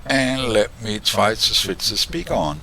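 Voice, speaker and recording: Bright-voiced man explaining his code. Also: lowish voice, lively intonation, measured pace, creaky, poor audio. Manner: thinking aloud, frequent uh and restarts. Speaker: electronics tutorial host. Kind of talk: casual technical walkthrough. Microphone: desk microphone, switched on and off.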